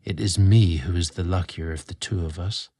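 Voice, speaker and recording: A man voicing a young butler deeply in love, speaking in a soft, earnest, romantic way. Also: normal speed, slightly rough, no room sound, clean studio sound. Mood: tender, adoring.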